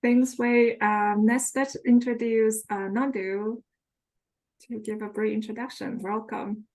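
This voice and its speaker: A woman's voice: on the high side, level tone, takes it slowly, darkish tone. A woman moderating an online student panel, welcoming each speaker and passing the turn along.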